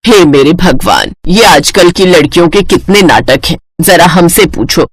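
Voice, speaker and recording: A woman's voice: fairly low pitch, sing-song, measured pace, breathy edge, no room sound, exaggerated voice. Woman acting a grumbling middle-aged Indian mother. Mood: exasperated. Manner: grumbling, world-weary. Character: middle-aged Indian mother, exasperated, practical, worrying wife. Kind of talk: animated moral-story voice acting.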